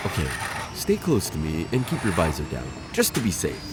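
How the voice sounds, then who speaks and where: A flustered insectoid bounty hunter. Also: male voice, sits low, wildly swooping pitch, fairly quick, noisy background. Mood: earnest. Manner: formal, flustered, rambling and overexplaining. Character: awkward insectoid male alien bounty hunter. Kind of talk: ASMR roleplay audio drama.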